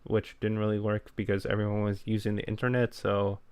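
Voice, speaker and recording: Low, smooth-voiced young man, calm and low-key. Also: dry recording. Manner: low-key, plain and unhurried, self-deprecating. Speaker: podcast co-host. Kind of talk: casual chat.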